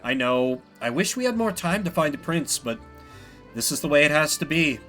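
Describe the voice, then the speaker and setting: A man playing a weary, loving king and father in a video game, gentle and reassuring. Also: fairly high pitch, big pitch swings, normal speed, faint music behind. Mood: fond.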